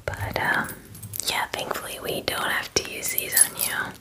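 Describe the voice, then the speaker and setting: A woman in her twenties whispers softly and gently in a chatty, unhurried ASMR personal-attention roleplay, close to the microphone. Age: 20s. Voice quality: soft, breathy whisper. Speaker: ASMR performer playing a werewolf spa groomer. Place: small indoor room.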